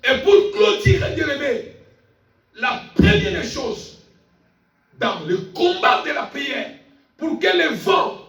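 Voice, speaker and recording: A man's voice: extremely high pitch, a bit bright. A man preaching a fervent sermon, speaking emphatically and insistently, repeating phrases and calling on listeners for amens. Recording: echoey room, distant mic, faint music.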